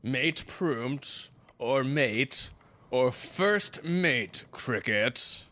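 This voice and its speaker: A performer acts out a menacing ship's first mate for an audio drama. The speech is slow, pompous and antiquated, with pitch that moves around to intimidate. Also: lively intonation, bright, forceful tone, heavily acted. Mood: menacing, stern. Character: menacing, archaic-talking first mate, fanatical method actor. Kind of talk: theatrical audio drama acting.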